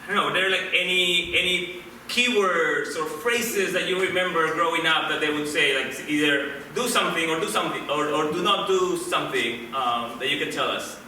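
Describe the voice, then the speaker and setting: A man from Peru, hosting a live improv show and chatting with the audience in a halting way, full of fillers. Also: fairly high pitch, bright, forceful tone, a little hiss or hum. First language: Spanish. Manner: halting, many restarts and fillers. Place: small theater, live audience. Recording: echoey theater, mic from a distance.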